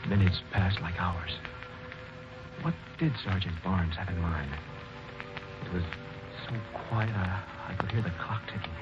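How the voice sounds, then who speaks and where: A man's voice: deep voice, measured pace, long pauses. A man playing a shaken young man accused of murder in a 1940s radio drama, narrating his ordeal and stammering when nervous. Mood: tense narration. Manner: stammering, anxious. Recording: lo-fi 1940s radio with music bed.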